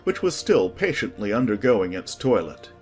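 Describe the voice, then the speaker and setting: A man's voice: on the low side, big pitch swings, moderate pace, soft background music. A man reading a classic storybook as an audiobook narrator, in a scripted storytelling style.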